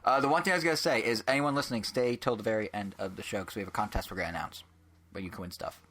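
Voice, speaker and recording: Fast-talking nasal podcast host, a man. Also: lowish voice, a bit bright. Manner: quick, rambling, joking. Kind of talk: casual podcast chat.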